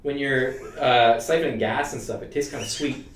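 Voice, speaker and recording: A man's voice: medium pitch, steady tone, normal speed, distant mic, a little hiss or hum. A Canadian man co-hosting a dating advice live stream, joking and riffing playfully in casual banter. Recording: roomy sound, away from the mic.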